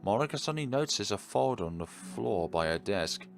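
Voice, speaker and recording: A man with a fairly low voice narrates a visual novel playthrough. His delivery is animated and dramatic, slipping into casual commentary, with music faintly behind him. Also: fairly quick, creaky.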